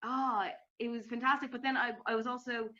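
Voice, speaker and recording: A woman's voice: fairly high pitch, level tone, fairly quick, pushed and bright, muffled recording. A woman interviewing a guest in an enthusiastic, chatty way, full of fillers. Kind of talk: casual interview chat.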